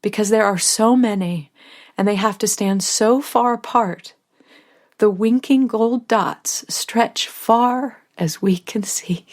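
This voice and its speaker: Middle-aged woman, first-person audio drama narrator, tender and intimate, drops to whispers, mid pitch. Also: slow pace. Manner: tender, softly emotional, some words dropped to a whisper. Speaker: actress narrating as a mother.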